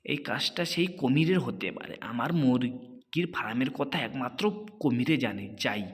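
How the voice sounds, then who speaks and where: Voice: mid-range voice, lively intonation, moderate pace, husky. An actor voicing a hot-tempered, bullying male tiger in a cartoon animal story, ranting in blustering, repeated threats. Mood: enraged. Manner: blustering, repetitive threatening tirades. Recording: long hall-like reverb on the voice.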